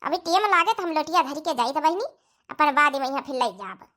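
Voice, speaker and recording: Woman voicing a very high, bright Awadhi village housewife. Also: lively intonation, brisk. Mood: chatty, obliging. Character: village daughter-in-law in an Awadhi cartoon, busy, chatty, obliging housewife. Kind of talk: cartoon comedy dialogue in rural dialect.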